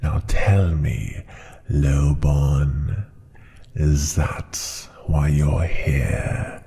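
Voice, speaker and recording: An extremely deep, crackling dragon tyrant for an ASMR fantasy roleplay. The creature speaks very slowly and deliberately, often dropping into a breathy whisper. It sounds proud, cruel and menacing, a ruler who looks down on every listener. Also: male voice, warm tone, heavily acted. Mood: taunting, challenging. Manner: slow, deliberate, haughty and menacing.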